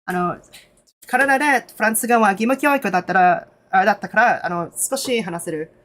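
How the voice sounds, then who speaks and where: Nervous Canadian woman, casual streamer, heavy on fillers, mid-range voice, halting in Japanese. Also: medium pitch, a bit bright. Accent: Canadian English. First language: English. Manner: nervous, lots of fillers, switches between English and halting Japanese. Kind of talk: casual live stream chat.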